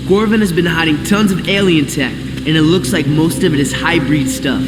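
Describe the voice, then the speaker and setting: A man's voice: fairly high pitch, moderate pace, heavy background noise, close to an everyday voice. A man playing a cocky, sarcastic teenage tough guy in a video game cutscene, offhand and boastful. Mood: casual alarm. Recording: echoey hall, loud music behind.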